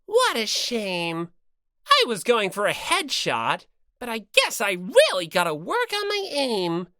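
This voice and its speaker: Smug, mischievous bear mascot. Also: female voice, a little high, wildly swooping pitch, slow pace, sharp tone, no room sound, studio quality, voice pushed up, heavily acted. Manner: taunting, theatrical, mock-sweet. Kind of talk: dramatized audiobook character reading.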